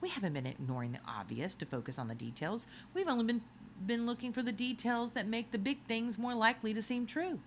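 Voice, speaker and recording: A woman's voice: fairly high pitch, lively intonation, brisk, a little hiss or hum, pitched above the performer's own voice. A woman voicing a clever, determined young sleuth from a children's novel, explaining things with insistent emphasis. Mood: thoughtful, earnest. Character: clever, determined young narrator hero, eager amateur sleuth.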